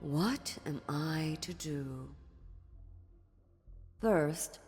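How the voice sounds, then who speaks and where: Slow, condescending demon woman played by an actress, echoing hall. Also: deep voice, expressive, darkish tone, heavily acted. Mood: cold menace. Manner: slow, condescending, sardonic, theatrical. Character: dominant demon woman, ancient, mocking, menacing patron of a kingdom. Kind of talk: fantasy audio roleplay, ASMR acting. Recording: long reverberant hall, music underneath.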